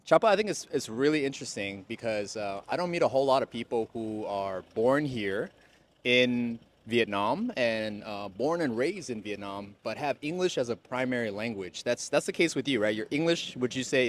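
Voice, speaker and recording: A man's voice: mid-range voice, lively intonation, normal speed. Man, interviewer, casual chat, curious follow-up questions, faint background hum. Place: in Vietnam.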